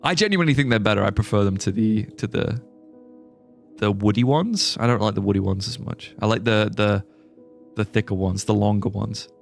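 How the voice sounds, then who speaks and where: A man's voice: lowish voice, fairly quick, gravelly, soft background music. A young British man chatting casually while streaming a game, rambling and restarting his sentences. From born in Singapore, raised British. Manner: rambling, restarts sentences, laughs at chat jokes. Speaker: livestreaming gamer. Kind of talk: casual chat while playing a game and reading chat.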